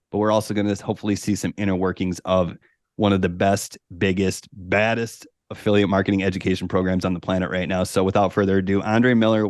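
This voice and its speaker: A podcast host with a low voice and a dry, close sound, introducing his guest with upbeat, salesy enthusiasm. His delivery sounds like a practiced show opening. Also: darkish tone, no room sound. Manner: upbeat, promotional.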